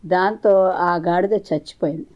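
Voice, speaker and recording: A woman's voice: fairly low pitch, steady tone, moderate pace, a little mellow. A woman storyteller reading scripted moral folk tales as a narrator.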